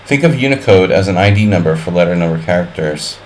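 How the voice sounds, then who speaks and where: A man with a fairly low voice thinks aloud through a chess simul on his live stream, calling out moves between wry jokes and puns. A faint hum of room noise sits under his voice. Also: lively intonation, fast talker, a little mellow. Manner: wry and offhand, chatting with viewers. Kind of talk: live-stream commentary while playing a simul.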